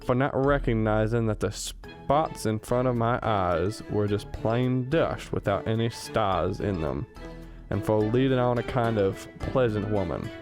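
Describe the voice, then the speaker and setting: A man's voice: lowish voice, measured pace, music in the background, close to an everyday voice. A man playing a cranky, rueful old professor in a comedic radio drama, grumbling in a plain, folksy, self-deprecating way. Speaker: student radio drama actor.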